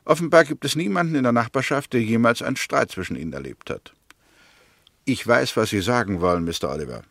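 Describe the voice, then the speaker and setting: Sceptical English case analyst for a 1960s radio drama: mid-pitched, gravelly creak, deliberate and precise, dry studio sound. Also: male voice, animated, a bit bright, no room sound. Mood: reasoned. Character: Mr. Hämmerte, probing, sceptical Englishman arguing a murder case. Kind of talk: 1960s German radio play, measured narration and debate.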